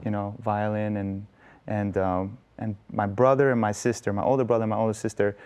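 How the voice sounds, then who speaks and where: A man's voice: lowish voice, flat delivery, a little fast, a little mellow, smooth. A young man from Los Angeles, a guest in a TV studio interview, telling stories in a chatty way with lots of "you know" and "like". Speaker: guest musician. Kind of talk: casual interview storytelling. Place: indoor TV studio set.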